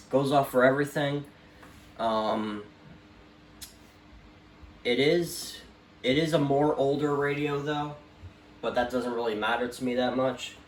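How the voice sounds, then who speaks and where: A man giving a casual, unscripted show-and-tell as a collector, rambling hesitantly and often trailing off. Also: medium pitch. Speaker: radio collector presenting their collection. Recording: roomy echo, light background noise.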